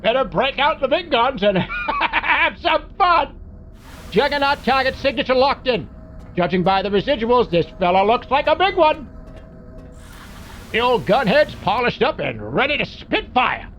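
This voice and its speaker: A man's voice: very high voice, very animated, measured pace, a bit bright, slight background noise, exaggerated voice. A man playing a wisecracking, gun-loving mech mechanic in a video game, with folksy, slangy talk. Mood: eager. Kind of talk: video game character voice lines.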